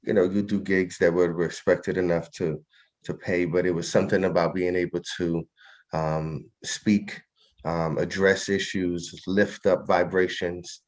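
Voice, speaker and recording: A man's voice: low-pitched, little pitch movement, measured pace. A middle-aged man from New York, a guest poet, talking reflectively and off the cuff in an interview. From New York, of a Trinidadian family.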